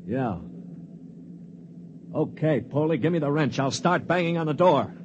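An actor playing a cynical, commanding space prisoner who also narrates, in a 1950s radio drama. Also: male voice, on the high side, expressive, unhurried, background noise. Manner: brusque, commanding. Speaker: narrator and lead. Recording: dull old radio broadcast.

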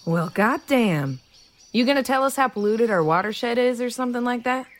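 A woman's voice: on the high side, expressive, normal speed, natural voice. A woman playing a nosy, skeptical small-town local in a naturalistic audio drama, with a blunt, teasing manner.

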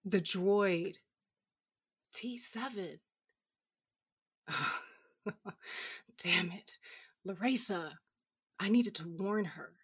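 An actress playing a hot-headed, drunken cyborg fighter, narrating in first person with slurred, sardonic defiance and foul-mouthed bite. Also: female voice, medium pitch, extremely slow, pauses often, husky. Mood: groggy, annoyed.

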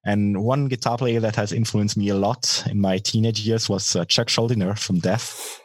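Husky bass-voiced man, close and dry. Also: fairly even intonation, normal speed, no echo. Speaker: musician being interviewed, guitarist and singer. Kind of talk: casual interview answers.

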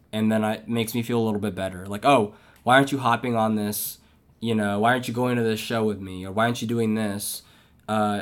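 A man in his twenties hosting a podcast, talking in a slow, reflective, measured way that often trails off. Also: on the low side. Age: 20s. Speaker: podcast host. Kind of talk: casual chat.

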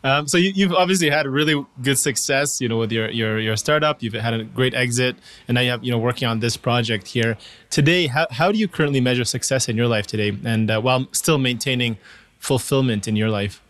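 A man hosts a podcast in a casual, encouraging way. He talks quickly and asks his questions with hesitant fillers. His voice sits in a middle register. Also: medium pitch, fairly bright tone. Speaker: podcast host. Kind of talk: conversational podcast interview.

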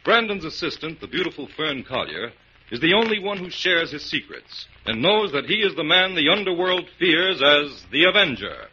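Animated, bright-voiced male radio announcer. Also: fairly high pitch, moderate pace. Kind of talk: dramatic 1940s radio scripted narration. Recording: muffled, noisy 1940s radio recording.

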